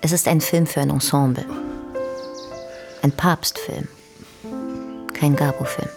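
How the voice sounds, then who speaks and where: A famous, melancholy film diva with a very low voice full of vocal fry. She speaks languidly and keeps a cool guard up. Clear music plays behind this radio drama. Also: fairly even intonation, slow pace, heavily acted.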